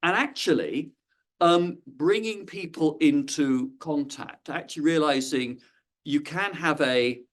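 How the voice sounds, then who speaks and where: A middle-aged man speaking on a panel, hesitant, with many fillers and repeated words. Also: on the high side. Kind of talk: panel discussion.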